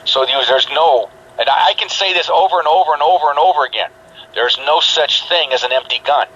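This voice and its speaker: A man's voice: a little high, a little fast, pushed and bright, slight background noise. A man hosting a talk radio show, lecturing emphatically and repeating his points. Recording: dull shortwave radio reception.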